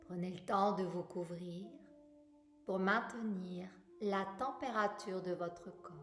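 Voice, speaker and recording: Slow-paced woman guiding relaxation, roomy echo. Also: lowish voice. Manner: calm, soothing, unhurried instruction with long pauses. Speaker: yoga nidra guide. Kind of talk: guided relaxation meditation. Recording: roomy echo, music bed. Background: music.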